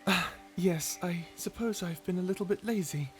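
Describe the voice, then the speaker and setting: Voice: medium pitch, normal speed, faint music behind, lightly acted. A performer voicing a kind guardian angel in an ASMR roleplay, soothing, earnest and encouraging. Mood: tender. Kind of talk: ASMR roleplay character acting.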